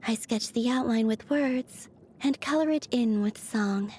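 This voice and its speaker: A woman playing a gentle, wistful young moon maiden in video game voice lines, soft-spoken, breathy and measured, telling stories with otherworldly calm. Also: fairly high pitch, a little hiss or hum, lightly acted. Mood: tender, wistful. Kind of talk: video game character voice lines, storytelling monologue.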